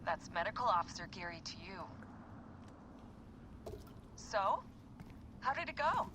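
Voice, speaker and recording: An actress playing a caring but bossy medical officer in a video game, with a brisk, wry manner. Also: female voice, medium pitch, big pitch swings. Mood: bossy, teasing, then curious. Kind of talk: naturalistic video game acting. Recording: clear noise behind the voice.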